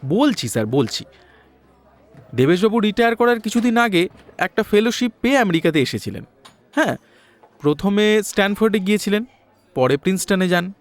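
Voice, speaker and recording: An actor playing a chatty, deferential Bengali detective who explains things point by point in an audio story. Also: male voice, high voice. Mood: animated, explaining.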